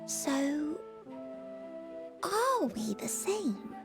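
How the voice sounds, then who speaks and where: Very high, childlike creature girl. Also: very animated, gravelly, music in the background, full character voice. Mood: curious, wondering. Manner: simple, halting childlike phrases. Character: childlike bird-girl created being, innocent, eager and curious. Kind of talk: video game voice acting.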